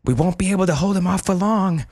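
A man voicing a plucky young woman fighter, pitching his voice up high, with guitar music behind him. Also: quick pace, darkish tone, husky, no echo. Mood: tense.

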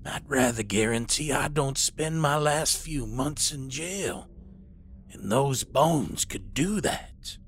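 An actor playing a withered, sinister old serial killer in an audio drama, with a raspy, smoke-worn voice and a deliberate, menacing delivery. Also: male voice, mid-range voice, animated, a little hiss or hum. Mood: cold menace. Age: older.

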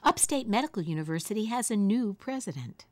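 A woman's voice: mid-range voice, lively intonation, fairly quick, no room sound. A woman from Queens, New York, hosting a radio show in a conversational interview style.

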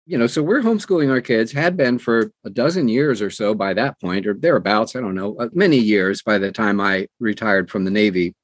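A man's voice: on the low side, a little fast, few pauses, no echo, studio quality. A middle-aged or older man chatting casually as an interview guest, telling stories and acting out bits of dialogue. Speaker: interview guest, audiobook narrator. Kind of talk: casual conversational storytelling.

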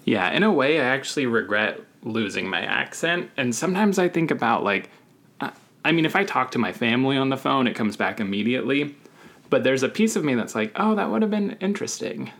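A man from the Deep South co-hosts a laid-back podcast conversation over a video call. His voice has a gravelly edge, and he talks in a thoughtful, reflective way with an occasional laugh. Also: big pitch swings, brisk, fairly bright tone. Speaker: podcast co-host. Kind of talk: casual chat.

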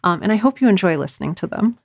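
A woman's voice: on the low side, lively intonation, fast, vocal fry, a little mellow, lo-fi sound. A woman speaking casually as a guest in a podcast interview. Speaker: podcast guest, audio drama creator.

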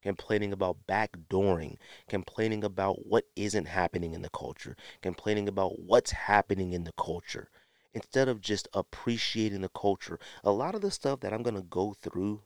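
A middle-aged American man with a fairly low voice talks casually as a solo podcast host. His delivery is slangy and emphatic, circling back on his points. The sound is close and dry. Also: normal speed, no room sound. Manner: conversational, slangy, emphatic repetition.

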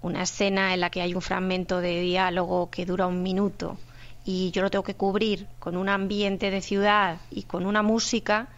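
A woman's voice: medium pitch, little pitch movement, normal speed. A woman with a Spain accent explaining her work as a radio drama sound producer in a conversational interview, often posing questions as she explains. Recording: dull lo-fi sound.

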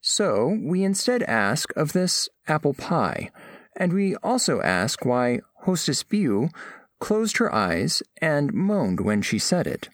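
A man's voice: fairly high pitch, sing-song, slow pace, no room sound, studio quality. A man playing a formal, curious alien scholar who narrates an audio drama in precise, clinical wording. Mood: detached curiosity. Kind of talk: scripted first-person story narration.

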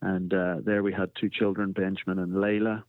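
A middle-aged man with a Northern Irish accent, a podcast guest telling his story in a measured, reflective way. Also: low voice, level tone, no echo. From Northern Ireland. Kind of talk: interview conversation. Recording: tinny phone line.

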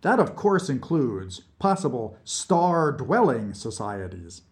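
A man's voice: medium pitch, sing-song, takes it slowly. Man acting cold, pedantic Soviet colonel. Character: balding Soviet colonel, cold doctrinaire interrogator. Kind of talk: dramatized audiobook dialogue.

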